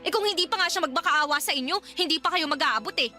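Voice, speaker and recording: A woman playing a resentful, sharp-tongued young Filipino daughter in a radio drama, confrontational and sarcastic. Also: very high voice, fast, faint music behind, full character voice. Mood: accusing.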